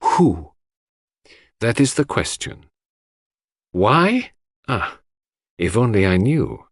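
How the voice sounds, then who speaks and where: A man voicing a grave, courteous foreign detective in an audiobook, speaking in a formal, measured, emphatic way. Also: on the low side, very animated, no room sound, studio quality, full character voice. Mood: perplexed. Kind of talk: audiobook character voice.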